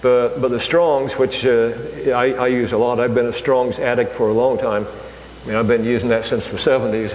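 An older man delivers a sermon in an easygoing, halting way, stopping often to restart his sentences. His voice rings through a large, echoey hall with a long tail of reverb. A faint hum sits underneath. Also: medium pitch. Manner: conversational, halting, many fillers and restarts. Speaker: preacher. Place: church hall.

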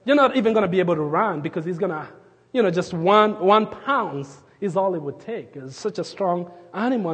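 A man from Kenya, preaching a sermon and telling a story with fillers and restarts, sometimes dropping his voice to a hush. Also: high voice, breathy edge, in a large hall. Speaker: pastor.

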